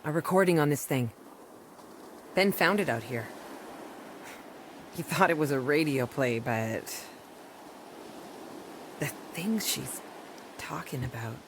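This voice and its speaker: Intense, wary woman doctor, animated delivery. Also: low voice, takes it slowly, pauses often, noisy background, exaggerated voice. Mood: wary, tense. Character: rural woman doctor, wary, intense, driven to uncover truth. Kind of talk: audio drama acting.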